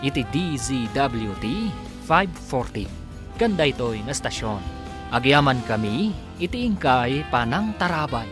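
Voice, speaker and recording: A man's voice: mid-range voice, big pitch swings, unhurried. A man announcing and narrating a scripted radio serial in a formal, dramatic manner. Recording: music bed under announcement.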